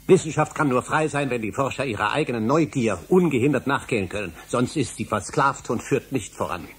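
A man's voice: mid-range voice, expressive, a little fast, slight background noise, close to an everyday voice. An actor playing an obsessive middle-aged male scholar in a German radio drama, speaking emphatically and rhetorically. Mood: indignant. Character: obsessive older male scholar, insistent and indignant.

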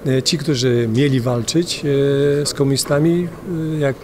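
Older man, halting, many hesitations. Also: mid-range voice, noisy background. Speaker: member of parliament. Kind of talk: street interview answers. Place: large indoor parliament hall. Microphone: handheld interviewer mic.

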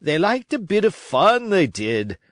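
Man voicing a growling pirate coxswain, impatient, mutinous, rough sailor talk, pitch leaping about, storybook. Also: sing-song, a little slow, a bit bright, dry recording, heavily acted. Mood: gruff, defiant.

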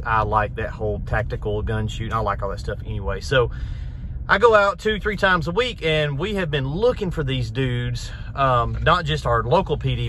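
A man at an average pitch. He talks casually, like a vlogger addressing his viewers. The recording is made inside a truck cab, with faint vehicle noise behind the voice. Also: fairly bright tone. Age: 30s. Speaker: vlog host, pressure washing business owner. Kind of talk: casual chat to camera. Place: inside a truck cab, driving then parked. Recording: in-car recording with road noise.

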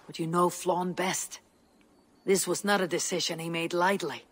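A woman playing a stern, loyal, battle-hardened Viking warrior with a husky voice and firm, earnest delivery in a video game. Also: on the low side, fairly even intonation, moderate pace, slight background noise, lightly acted. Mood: reasoning, calm. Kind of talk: dramatic video game acting.

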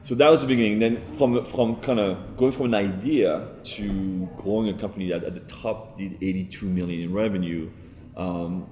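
A man in his forties from France with a French accent, telling his business story in a seated interview, steady and fluent with the odd um. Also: sits low, slight background noise. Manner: steady, fluent with filler ums and repeats. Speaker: entrepreneur guest. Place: large open-plan office, indoors. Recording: roomy office reverb.

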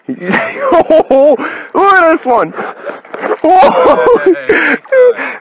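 A young man vlogging from a small boat on a lake, narrating his fishing and getting loudly excited at every catch. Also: very high voice, very slow, crowd noise. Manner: excitable, whoops and laughs at strikes.

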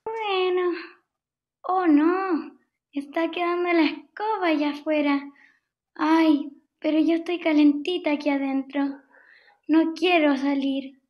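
A woman's voice: high voice, fairly even intonation, takes it slowly. A woman with a Chilean accent voicing a pampered, lazy female house cat in a radio play, whiny and reluctant, over a video call. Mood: cosy, reluctant. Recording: dull video-call audio.

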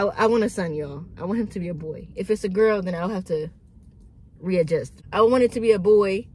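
A woman's voice: medium pitch, darkish tone. A woman in her early twenties chatting casually to her phone camera from a parked car, rambling with lots of "like" and "dude". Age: early 20s. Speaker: vlogger. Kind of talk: casual chat while sitting in a car. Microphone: phone camera on dashboard.

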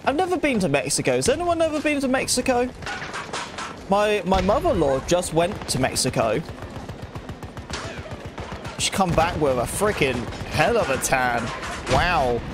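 A man's voice: high-pitched, big pitch swings, normal speed, long pauses, music in the background. A young man livestreaming a game, chatting casually with viewers and stuttering now and then. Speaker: gaming livestreamer. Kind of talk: casual livestream chat while playing. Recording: game sound mixed loud behind voice. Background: game gunfire and explosions.

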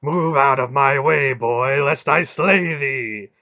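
A man voicing a vast, grieving and vengeful god with loud, archaic, menacing speech in a storybook reading. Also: medium pitch, takes it slowly, creaky, dry recording, dull audio. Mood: vengeful fury. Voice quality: loud, roaring, howling.

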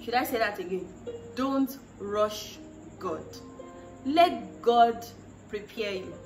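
A woman's voice: a little high, slow pace. Earnest woman preaching advice, roomy and distant. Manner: earnest, preachy, repeats phrases for emphasis, acts out quoted voices. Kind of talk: direct-to-camera advice talk. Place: small room against a plain wall. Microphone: camera in front, chest-up framing. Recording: roomy sound from a distant mic with music behind.

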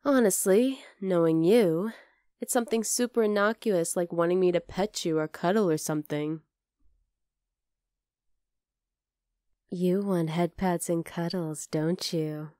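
A woman's voice: lowish voice, moderate pace, mellow, dry recording, studio quality, lightly acted. A woman voicing a teasing, affectionate young wolf-girl girlfriend in an ASMR roleplay, casual and exasperated but tender. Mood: teasing, coaxing. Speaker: voice actor in audio roleplay. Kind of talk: ASMR roleplay, acted one-sided dialogue.

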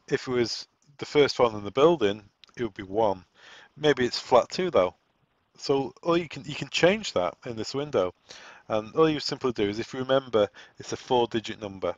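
A man giving an informal software setup walk-through, explaining conversationally and thinking aloud as he goes. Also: lowish voice, dry recording. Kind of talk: informal software tutorial narration. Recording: dull, lo-fi, dry close recording.

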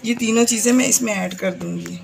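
A woman's voice: medium pitch, brisk, creaky, a little hiss or hum. A woman with a Pakistani Urdu accent hosting a home cooking tutorial, talking viewers through each step in a chatty way. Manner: chatty, repeatedly points things out to viewers. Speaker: cooking channel host. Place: home kitchen.

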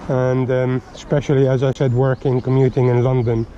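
Relaxed man vlogging from a motorcycle. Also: medium pitch, brisk. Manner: relaxed, rambling with many ums. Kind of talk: casual narration while riding. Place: outdoors on a motorcycle by a river. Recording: dull helmet mic with wind and traffic noise. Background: wind and traffic noise.

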